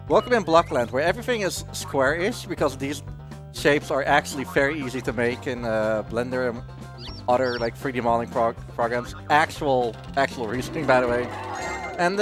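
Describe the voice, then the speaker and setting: A man's voice: medium pitch, expressive, moderate pace. A man commentates casually on a speedrun. His mid-range voice moves animatedly as he chats and jokes, with faint music underneath. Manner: joking, chatty. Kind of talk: casual live gaming commentary.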